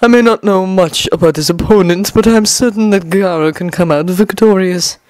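A woman's voice: mid-range voice, normal speed, darkish tone, no room sound, pitched above the performer's own voice, natural voice. A woman voicing a confident, formal male village leader in a story reading.

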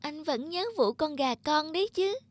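A woman's voice: high voice, expressive, moderate pace, no room sound. A woman voicing a sly, bossy little girl in a children's cartoon, insistent and cunning in delivery.

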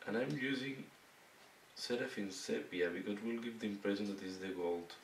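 A hoarse man with a fairly low voice talks through a painting tutorial in short, halting phrases. He sits some way from the mic, so his voice sounds distant and echoey. A faint hiss runs underneath. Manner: halting, broken phrases while working. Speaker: miniature painting instructor. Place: indoor hobby painting desk.

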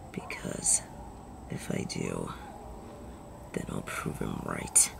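A woman's voice: extremely low pitch, steady tone, extremely slow, vocal fry, in a whisper, full character voice. A woman voicing a bitter, sardonic, vengeful supervillain in a dramatic audio roleplay, theatrical and menacing. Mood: reluctant, subdued. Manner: theatrical, menacing, swinging from hushed to shouted.

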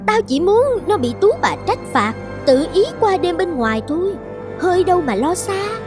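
A woman as a spiteful, high-pitched schemer. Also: sing-song, normal speed, music in the background. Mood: scheming. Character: spiteful, scheming female rival courtesans. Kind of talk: cartoon fairy-tale dubbing.

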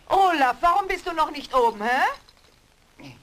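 Slow, menacing, bossy woman. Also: high-pitched, sing-song, very noisy, exaggerated voice. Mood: stern, bossy. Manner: slow, prodding, with trailing questions. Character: menacing, bossy woman ordering her dim accomplice Olaf. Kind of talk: German horror radio play acting.

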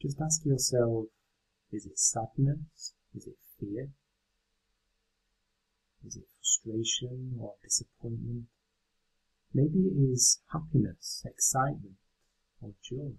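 A man leading a guided meditation in a gentle, unhurried, instructional way. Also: mid-range voice, gravelly, slightly rough, rough recording.

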